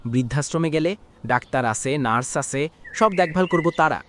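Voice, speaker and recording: An actor playing a grown son in a cartoon, persuasive and self-justifying, with a Bangladeshi Bengali accent. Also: male voice, on the high side, expressive, normal speed, a bit bright, slight background noise. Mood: coaxing. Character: grown son coaxing his father to leave home. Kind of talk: cartoon voice acting.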